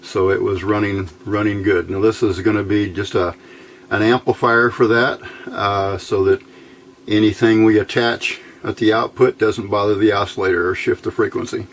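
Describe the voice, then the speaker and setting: A man giving an unscripted hands-on technical tutorial in a matter-of-fact, halting, step-by-step way. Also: on the low side, flat delivery. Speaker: host of an electronics build tutorial. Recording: clear background noise, room ambience.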